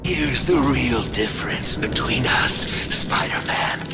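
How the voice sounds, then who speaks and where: An actor voicing a murderous male symbiote-bonded psycho killer in a video game cutscene, speaking in a slow, taunting, raspy whisper that breaks into shouts. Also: mid-range voice, expressive, over loud music. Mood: menacing.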